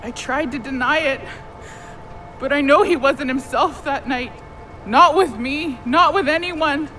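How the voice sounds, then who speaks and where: A caring, remorseful young woman in an audio play. Her voice is high and bright, earnest and wry, with faint music behind it. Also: measured pace. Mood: gentle, sad. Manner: earnest, plain-spoken, wry. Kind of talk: audio drama acting.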